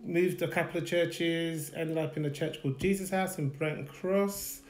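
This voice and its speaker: A man's voice: fairly high pitch, steady tone, moderate pace. London guy telling his story at home, easygoing, echoey room. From Nigeria, raised in UK. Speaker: guest, husband. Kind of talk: casual storytelling.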